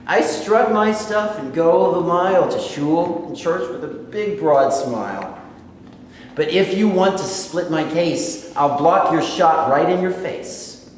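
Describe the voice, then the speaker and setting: A man playing a weary young former basketball player searching for his identity in a staged reading of a play. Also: mid-range voice. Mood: proud, declaiming. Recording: very echoey hall, faint noise.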